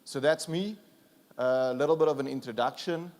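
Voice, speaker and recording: A man with a South African accent, raised speaking Afrikaans, presents at a conference. His voice sits at an ordinary middle pitch. He talks in an easygoing, self-deprecating way, pausing on fillers and restarting phrases. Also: a little mellow.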